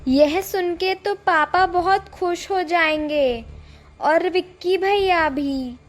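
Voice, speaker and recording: A woman voicing a polite, earnest, helpful young girl in an animated horror story. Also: very high voice, slow, faint music behind. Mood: eager, polite.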